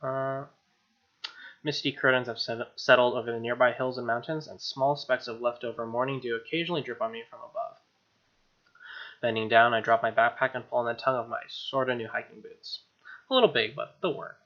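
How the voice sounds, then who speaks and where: A man's voice: medium pitch, steady tone, brisk, muffled recording. A man hosting a game stream, chatting casually and reading narration aloud, with muttered offhand asides. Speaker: streamer, let's play host. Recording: music playing behind voice. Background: game music.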